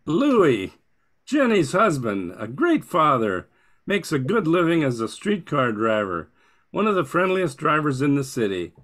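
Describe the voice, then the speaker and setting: An older man presents a character from a radio script. His voice is on the high side for a man. The intonation swings in a very animated way. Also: a little slow. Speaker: amateur radio-play performer. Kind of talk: scripted reading of a character introduction.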